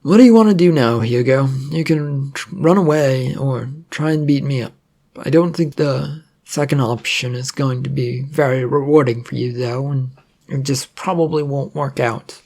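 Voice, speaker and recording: A man acts out a battered, reluctant young hero in an adventure story. He is wry and sarcastic, rambling and hesitating in a creaky, worn-out voice. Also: mid-range voice, normal speed, mellow, close to an everyday voice.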